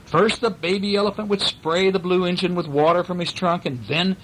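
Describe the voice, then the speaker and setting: A man's voice: high voice, steady tone, brisk, fairly bright tone, a little hiss or hum, low-quality recording. A man reading a bedtime story to his young children in a casual, playful way, breaking off to ask questions and act out sound effects.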